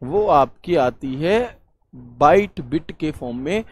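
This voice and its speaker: A man's voice: on the high side, animated, slow pace, soft background music. A man teaches an exam-prep computer lesson. He repeats key points and asks questions before answering them himself. His delivery is classroom-style and mixes in motivational pushes.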